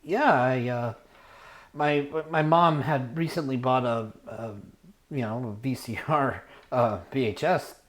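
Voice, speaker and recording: A man telling a rambling personal story casually, halting as he searches for words and trails off. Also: medium pitch. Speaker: storyteller, show host.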